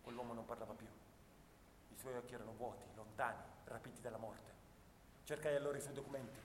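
A man's voice: mid-range voice, fairly even intonation, fairly quick, close to an everyday voice. An actor playing a young First World War soldier, anguished and tender, telling his war memories as an intense theatrical monologue. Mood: grieving, remorseful. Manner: intense, dramatic storytelling. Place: live radio theatre performance in a hall. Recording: echoey theatre hall, noisy distant recording.

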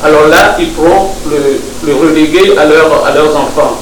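A man from West Africa giving an informal talk to an audience, halting, with many restarts and fillers. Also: a little high. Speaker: speaker at a public discussion. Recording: distant mic in an echoey room with noise.

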